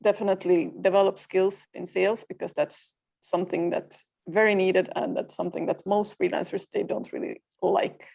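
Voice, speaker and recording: A woman in her thirties from Finland, a native Swedish speaker, talks about her work in a plain, matter-of-fact way. Her voice sits fairly low and has a gravelly, creaky edge, with small "uh" pauses between thoughts. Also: little pitch movement, a little mellow. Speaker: guest, freelancer community co-founder. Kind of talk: podcast interview.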